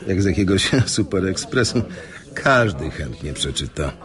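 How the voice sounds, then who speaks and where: A man's voice: sits low, very animated, unhurried, crowd noise. An actor playing a smug, needling male journalist in a radio drama, with a mocking, insinuating manner. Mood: smug menace. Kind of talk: Polish radio drama acting.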